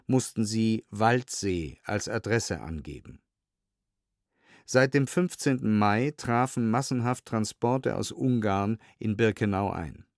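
Man, lower voice, sober documentary reader, measured delivery, precise diction, very dry sound. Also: no echo. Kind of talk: scripted documentary audio narration, read aloud. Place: dry studio.

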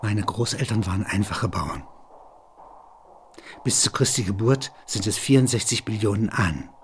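A man narrating literary and philosophical reflections in measured, deliberate phrases. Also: on the low side, expressive, slight background noise.

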